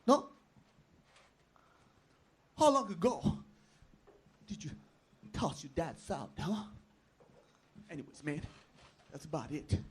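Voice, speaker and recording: A man with an Armenian accent, a second-language English speaker, doing a manic, loud stand-up set at an open mic. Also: a little high, sing-song, slow, pauses often, breathy edge. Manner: loud, manic, jagged delivery.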